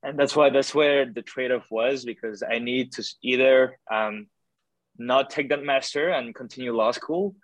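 A young man talks through his answers hesitantly, circling back with lots of ums and restarts. His voice sits at an ordinary middle pitch, recorded dry with no room sound at all. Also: normal speed, no echo, dull audio. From France. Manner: hesitant, many fillers and restarts. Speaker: podcast guest, doctoral student. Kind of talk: casual interview answers.

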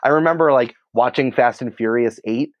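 Guy chatting in an interview, kinda muffled dry recording, excitable and rambly, says like a lot. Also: mid-range voice, fairly quick, no echo. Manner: informal, rambling, excitable, peppered with "like". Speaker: podcast writer and producer, interview guest. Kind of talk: casual conversational interview.

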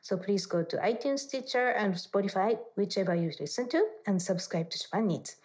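A woman's voice: mid-range voice, brisk, darkish tone. A woman hosting a podcast interview, polite and friendly, with slightly non-native phrasing. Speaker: host.

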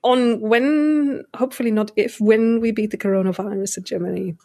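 A woman's voice: fairly high pitch, steady tone, moderate pace, mellow. A woman hosting a casual chat who speaks hesitantly, with fillers and restarts.

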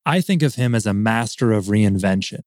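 A young adult man hosting a podcast, reading a scripted introduction and asking interview questions in an earnest, steady way. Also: on the low side, normal speed, no room sound, clean studio sound. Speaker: podcast host.

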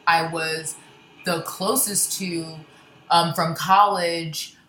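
A young woman stand-up comedian from Florida, chatting casually and rambling with quick self-deprecating asides. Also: fairly low pitch, fairly even intonation, fairly bright tone, echoey room. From Florida, born in Baltimore. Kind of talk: casual interview chat.

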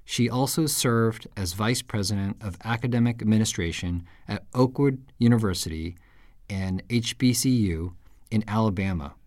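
A man's voice: on the low side, moderate pace, darkish tone, no room sound, studio quality. A man born in Japan hosting a podcast, reading a scripted introduction and then asking questions with a few hesitations. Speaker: podcast host. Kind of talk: podcast interview.

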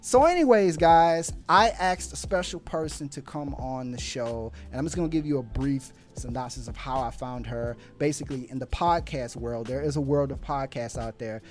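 A man's voice: mid-range voice, moderate pace, hardly any pauses, soft background music. A man hosting a podcast, chatting casually and animatedly with slang and frequent laughs. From Houston area resident, now Miami. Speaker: podcast host. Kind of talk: casual chat.

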